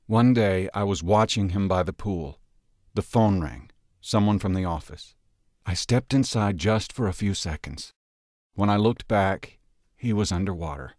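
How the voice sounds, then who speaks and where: A man voicing a grieving American man, low, weary and resentful. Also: measured pace, darkish tone, no echo, studio quality.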